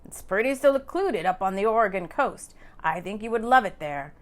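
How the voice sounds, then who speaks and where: A woman reading aloud and voicing an eager, charming man coaxing his girlfriend, with a louder, pushed-forward delivery. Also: mid-range voice, animated, normal speed, close to an everyday voice.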